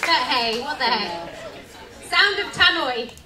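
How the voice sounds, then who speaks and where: A woman narrating a live radio play from a script in a theatrical, deliberate style. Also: high-pitched, sing-song. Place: live stage reading on cruise ship. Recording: echoey, distant mic, noisy crowd.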